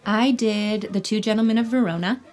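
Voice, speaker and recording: A woman's voice: medium pitch, brisk, a little mellow, soft background music. A young American woman, an actor, chatting casually as an interview guest. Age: young adult. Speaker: actor and singer being interviewed.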